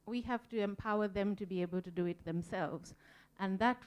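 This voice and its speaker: A woman from Sri Lanka speaks on a conference panel in a smooth, clear voice at a middle pitch. She is earnest and reflective, and she fills her pauses with ums as she works through each point. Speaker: museum volunteer panelist. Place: conference room.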